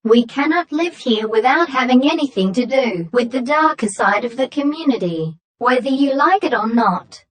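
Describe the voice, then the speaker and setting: A woman's voice: sits high, expressive. Woman acting a stern, formal young courier mentor. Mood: earnest, serious. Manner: formal, matter-of-fact, measured statements. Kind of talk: scripted story dialogue.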